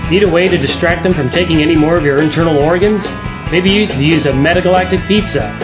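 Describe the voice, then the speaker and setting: A man's voice: fast talker, natural voice. A man playing an upbeat pizza commercial announcer in a parody radio ad. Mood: salesy. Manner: salesman-like, upbeat pitch. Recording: dull lo-fi audio over music.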